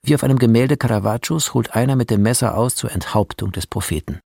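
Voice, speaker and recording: A man narrating a radio drama, reading out film scene directions in a terse, deliberate, descriptive manner. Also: medium pitch, vocal fry, darkish tone, no room sound, studio quality.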